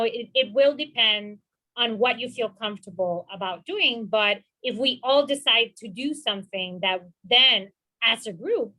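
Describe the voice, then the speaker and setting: A woman's voice: fairly high pitch, bright, forceful tone, poor audio. A woman from Rico, speaking earnestly as a panelist in a webinar discussion and often filling with "you know.". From Puerto Rico. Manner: earnest, encouraging, many "you know" fillers. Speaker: panelist, mental health professional.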